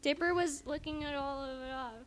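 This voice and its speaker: A woman's voice: sits high, fairly even intonation, fairly quick. A woman giving a theatrically emphatic amateur reading, breaking off for squeamish, casual asides. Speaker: co-reader and commentator. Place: at home in a house.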